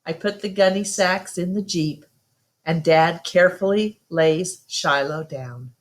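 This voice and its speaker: A woman's voice: lowish voice, unhurried. A woman storyteller reading a first-person children's novel aloud as narration.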